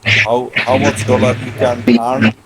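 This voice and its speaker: A man's voice: mid-range voice, flat delivery, a little slow. A man in his thirties from Bangladesh with a Bangladeshi accent, chatting on a video call in simple English and often repeating his questions. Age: 30s. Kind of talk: casual video chat. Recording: noisy video call line.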